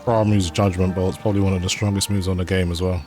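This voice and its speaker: A man giving casual gameplay commentary in a relaxed way, with fillers. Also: sits low, quick pace. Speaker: gaming channel host. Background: game music.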